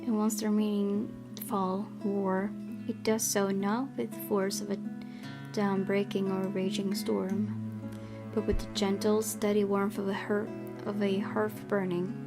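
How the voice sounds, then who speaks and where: A woman streamer reading a horror visual novel aloud, with joking asides between passages. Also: medium pitch. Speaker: game streamer. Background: game music.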